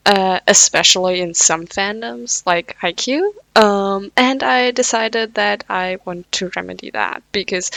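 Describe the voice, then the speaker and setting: A woman's voice: medium pitch, creaky, sharp tone, no echo. A woman reading fanfiction aloud at home, with casual, self-deprecating asides; English is not her first language. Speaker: podfic reader.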